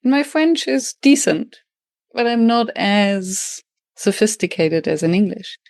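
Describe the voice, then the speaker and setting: A woman with a German accent, a native German speaker, hosting an interview in English. She talks quickly and reflectively and restarts phrases as she thinks aloud. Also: mid-range voice, animated, a little mellow, no echo, studio quality. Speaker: podcast host and facilitation trainer. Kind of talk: conversational interview.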